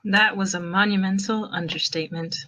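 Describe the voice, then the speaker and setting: A woman narrating a fan fiction story in an expressive reading style. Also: mid-range voice, brisk.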